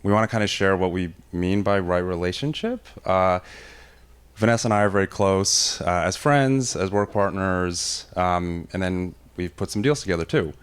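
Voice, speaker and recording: A man's voice: low-pitched, normal speed, clean tone. A man and former camp counselor speaking energetically on a conference stage with a handheld mic, describing his work on a panel. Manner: energetic, self-described loud voice. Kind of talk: conversational panel talk. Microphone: handheld microphone.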